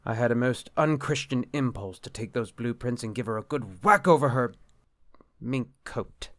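An actor playing a dejected, earnest bishop, formal and exasperated, in period drama style. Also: male voice, mid-range voice, expressive, moderate pace, a bit bright, no room sound, studio quality, natural voice. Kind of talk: period radio-drama acting.

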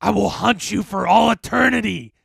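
Menacing male specter for horror storytelling, acted by a man: raised pitch, bellowed drawn-out threats, gloating and vengeful. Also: vocal fry, fairly bright tone, husky, no echo, clean studio sound, exaggerated voice. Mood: vengeful rage.

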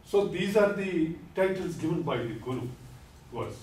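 An older man giving a classroom lecture, explaining terms slowly and deliberately and repeating key words, standing at a podium. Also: fairly high pitch, expressive, darkish tone, slight background noise. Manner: gestures while speaking at a podium. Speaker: lecturer on Sikhism. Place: classroom with whiteboard. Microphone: far camera. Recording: echoey classroom from far off.

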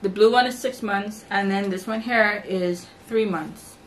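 A woman's voice: mid-range voice, measured pace, a little hiss or hum, low-quality recording. A young woman from the Bahamas, chatting casually to camera in her bedroom as she shows off baby shower gifts. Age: young adult. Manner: chatty, lively, talks with her hands. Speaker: vlogger. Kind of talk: casual haul vlog. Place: bedroom, indoors.

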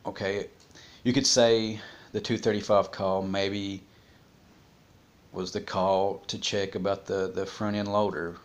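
A man in his forties talking casually and unscripted, haltingly thinking aloud, on a home vlog. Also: sits low, level tone. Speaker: vlogger discussing a criminal case. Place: small room at home, indoors.

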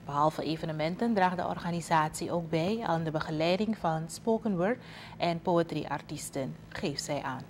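Low-voiced Surinamese woman reading the news. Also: moderate pace, a little hiss or hum. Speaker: news presenter. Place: TV news studio.

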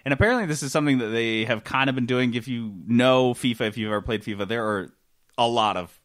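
A man's voice: medium pitch, fast, a bit bright. A man co-hosting a gaming podcast in casual comic banter, sarcastic and irreverent, swearing freely. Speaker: podcast co-host.

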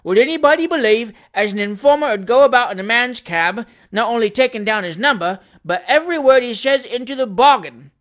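A narrator putting on a belligerent London cab driver, rough and challenging in manner. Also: medium pitch, expressive, brisk, close to an everyday voice. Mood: combative. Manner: cockney dialect with dropped sounds, challenging shouts.

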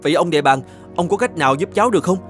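A man's voice: fairly high pitch, lively intonation, very fast, soft background music, lightly acted. A man voicing a brave, polite young knight in a children's cartoon. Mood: curious, determined. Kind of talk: children's cartoon fairy-tale dubbing.

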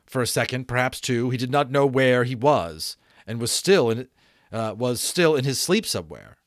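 A man in his thirties reading a novel aloud as an expressive, dramatic audiobook narrator. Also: medium pitch, normal speed, dry recording, studio quality. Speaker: audiobook reader, voice actor. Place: small home room, indoors. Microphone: close desk microphone.

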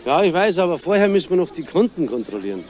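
A brisk police officer in a radio play. He is official and persuasive, with a mellow, very animated delivery. Faint noise lies behind him. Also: fairly high pitch, a faint crowd. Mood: politely insistent. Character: brisk, polite but insistent male police officer. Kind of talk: German crime radio play acting.

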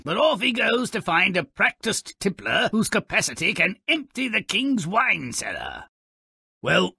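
A man voicing a sardonic, mischievous, grotesque little fairy-tale creature who narrates a video game with raspy, theatrical, gleefully macabre sarcasm. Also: on the high side, sharp tone, dry recording, studio quality, heavily acted. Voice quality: raspy, gravelly. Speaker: character narrator.

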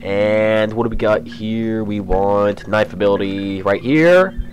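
A young man with a cold and a slightly raspy voice, chatting casually as he narrates a game walkthrough. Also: lowish voice, takes it slowly, over music. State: has a cold. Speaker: let's play host. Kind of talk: casual gameplay commentary.

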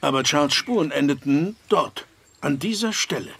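A man's voice: fairly high pitch, animated, normal speed, creaky, fairly bright tone, slightly rough, exaggerated voice. An actor playing a worried, sceptical but caring family man in a German audio drama, with a terse, direct manner. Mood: concerned.

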